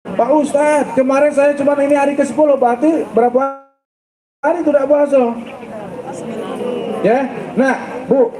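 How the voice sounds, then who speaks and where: A man's voice: extremely high pitch, steady tone, unhurried, long pauses, crowd noise. A middle-aged man, an ustaz giving a joking sermon to school students and quizzing them. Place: school event hall. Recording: echoey hall, background noise and traffic.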